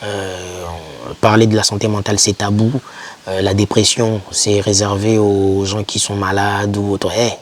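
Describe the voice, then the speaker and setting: A man's voice: on the low side, fairly even intonation, measured pace. A young man giving informal motivational advice to camera, speaking emphatically and repeating key words to encourage the listener. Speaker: YouTuber advising foreign students.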